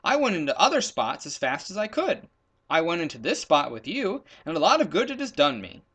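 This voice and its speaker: Guy doing a grumbly excitable leopard from a kids storybook, exclaims a lot, pitch all over the place. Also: fairly quick, breathy edge, exaggerated voice. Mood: indignant. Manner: indignant, exclamatory. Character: excitable, sulky, bantering male leopard. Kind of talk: storybook character voice in a read-aloud.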